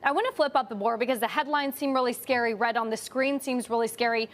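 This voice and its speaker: A woman co-anchoring a live markets show, walking through charts and earnings in a lively, upbeat way. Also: a little high, fast. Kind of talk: market news analysis.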